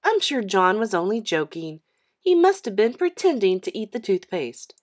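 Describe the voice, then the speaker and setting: Storybook role: gently firm mom, a woman reading, coaxing, emphatic, mid-pitched and animated. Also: normal speed. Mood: gently chiding. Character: patient, gently firm mother.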